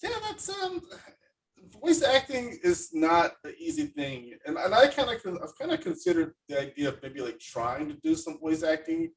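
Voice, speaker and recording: A man's voice: fairly high pitch, sing-song, normal speed, vocal fry, recorded from a distance, rough recording. A man hosting a podcast in casual chat, effusive and hesitant, with many fillers. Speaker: podcast host.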